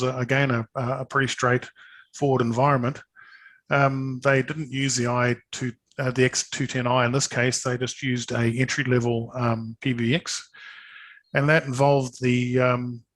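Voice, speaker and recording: Man presenting products, halting and informal. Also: medium pitch. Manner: hesitant, halting, often repeats words. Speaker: sales presenter.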